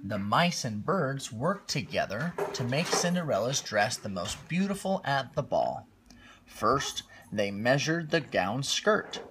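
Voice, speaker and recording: A man reading a picture-book story aloud to a young child on a phone livestream, gently stopping to chat with the child. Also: mid-range voice, very animated, slow, slight background noise. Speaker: storytime reader.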